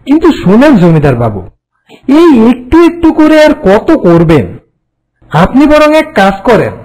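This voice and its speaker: Man as a very high-voiced wily cartoon swindler. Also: a little mellow, exaggerated voice, low-quality recording. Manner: wheedling, cocky, reassuring. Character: wily, smooth-talking village swindler flattering his victim. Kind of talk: Bengali folk-tale cartoon voice acting.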